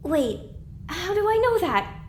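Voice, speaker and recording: A woman's voice: very high voice, sing-song, a little slow, darkish tone, a little hiss or hum, full character voice. A woman voicing a bewildered, anxious young pony mare who questions everything, in an audio drama. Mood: confused, anxious.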